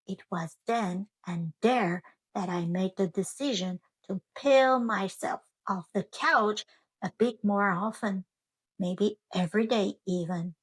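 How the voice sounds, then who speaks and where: Woman as cheerful storybook potato, earnest, expressive, unhurried pace, dry close sound. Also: mid-range voice, husky, no echo. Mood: reflective. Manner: expressive, drawn-out storybook delivery.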